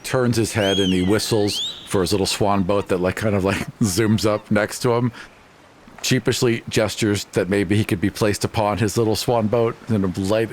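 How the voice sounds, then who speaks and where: A man's voice: fairly low pitch, a little hiss or hum. A man playing a tabletop game, casually improvising narration of his character's actions.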